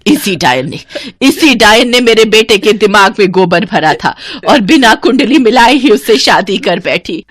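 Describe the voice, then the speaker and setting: A woman playing a spiteful, shouting mother-in-law. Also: lively intonation, moderate pace, no echo, natural voice. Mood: furious. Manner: shrill, scolding, cursing. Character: harsh middle-aged mother-in-law, spiteful.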